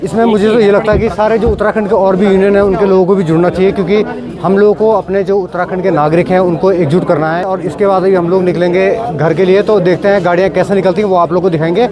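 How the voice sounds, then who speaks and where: A man with a high voice chats informally to the camera as a vlogger. His talk is casual and friendly in wording. Clear crowd chatter and outdoor city sound surround him. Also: steady tone, fast talker. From Rudraprayag, Uttarakhand, India. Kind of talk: casual vlog talk to viewers.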